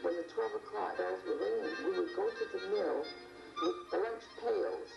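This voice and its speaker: An older woman in an interview, telling childhood memories in a lively storytelling way. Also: mid-range voice, normal speed, creaky, distant mic. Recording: roomy lo-fi recording with music under.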